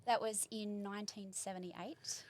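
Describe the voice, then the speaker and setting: A woman in her forties with a New Zealand accent, a guest in a casual studio interview, chatting warmly and rambling through memories. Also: mid-range voice, little pitch movement, normal speed. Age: 40s. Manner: warm, chatty, rambling recollection. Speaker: podcast guest. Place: small podcast studio.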